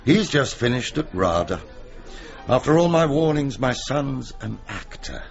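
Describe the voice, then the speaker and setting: A man's voice: mid-range voice, lively intonation, a little slow, gravelly, soft background music. Wry middle-aged British man, slightly muffled. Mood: chummy, wry. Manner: wry, world-weary, chatty. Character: wry, disillusioned middle-aged male gag writer drinking in pub. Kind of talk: naturalistic radio comedy drama acting.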